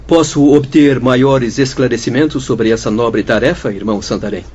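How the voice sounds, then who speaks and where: A man's voice: medium pitch, fairly even intonation, moderate pace, darkish tone, lightly acted. A mid-range male voice of an actor as a polite young doctor in a Brazilian radio drama. He is earnest and formal in his inquiry. The recording is a little dull and faintly noisy. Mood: earnest, respectful.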